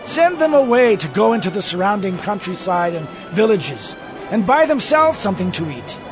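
A man's voice: sits high, very animated, moderate pace. An actor playing a worried male disciple in a dramatized scripture reading, urging as he speaks. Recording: music bed behind voice.

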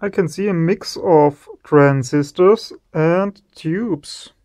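Slow, admiring man. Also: fairly high pitch, darkish tone. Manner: slow, admiring, savoring each part. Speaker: electronics video host. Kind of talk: casual teardown commentary.

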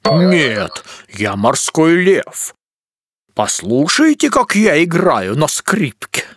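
A man voicing gruff but helpful male sea creatures in a children's cartoon, speaking deliberately. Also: a little high, very animated, loud music behind the voice, exaggerated voice. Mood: proud.

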